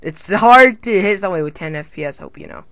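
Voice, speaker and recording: A man's voice: high-pitched, animated, fast talker, crowd noise. A young man hosting a casual gaming commentary, joking, swearing and slipping into silly put-on accents. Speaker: gamer channel host.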